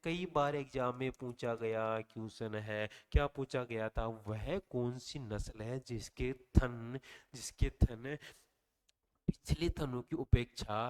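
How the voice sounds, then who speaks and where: A man's voice: medium pitch, slow pace, smooth. A man with a slightly sore throat teaching an exam revision lesson, asking the class questions and answering them himself. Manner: quizzing listeners with repeated questions and answers. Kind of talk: online revision lecture.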